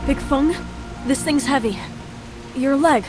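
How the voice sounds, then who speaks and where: A woman's voice: high-pitched, takes it slowly. An actress playing a confident, caring young female martial disciple in a video game, spirited and direct, with music behind. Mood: concerned. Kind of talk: video game cutscene acting.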